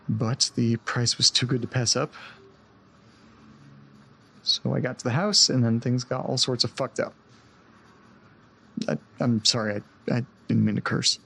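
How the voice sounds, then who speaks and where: A man playing a rattled, apologetic young man in an audio drama, speaking haltingly and stammering in a husky, creaky voice. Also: mid-range voice, fairly bright tone, heavily acted. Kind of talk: naturalistic audio drama acting.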